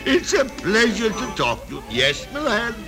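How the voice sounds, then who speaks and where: An actor playing an excitable, stammering old miser in a vintage radio drama, with theatrical delivery. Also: male voice, sits high, moderate pace, full character voice. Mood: joyful excitement. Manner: theatrical, stammering exclamations and repetitions. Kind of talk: 1930s-40s radio drama acting. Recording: old radio recording with music behind.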